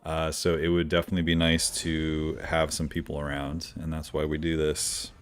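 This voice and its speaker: A man streaming a game live, chatting casually with viewers, with a smooth radio-like voice and a slightly stuffy nose from allergies. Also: very deep voice, normal speed. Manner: relaxed, chatty, greets viewers by name. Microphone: decent studio microphone.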